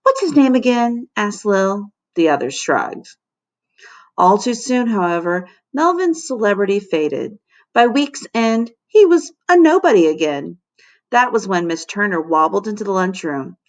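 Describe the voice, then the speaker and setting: A woman teacher narrating a children's storybook aloud in an expressive, dramatic way. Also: medium pitch, measured pace. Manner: animated, dramatic.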